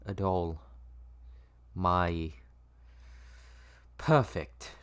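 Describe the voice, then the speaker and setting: A man's voice: low-pitched, drawn-out words, fairly bright tone, clear, resonant tone, no echo, heavily acted. A man playing a controlling, obsessive old male doctor who coaxes and soothes in a patronizing way, in a dramatic script reading. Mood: soothing, possessive.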